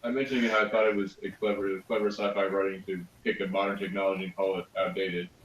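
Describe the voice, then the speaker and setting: A man with a fairly low voice takes part in a casual review discussion. He speaks in a dry, wry way, like a panelist chatting off the cuff. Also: flat delivery, fast, a crowd in the background, poor audio.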